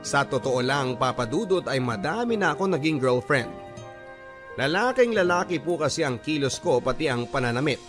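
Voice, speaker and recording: A man with a Filipino accent playing a cocky young tomboy, narrating a letter in a measured, confessional way for a radio drama. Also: mid-range voice, expressive, over music, close to an everyday voice. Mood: confessional, proud.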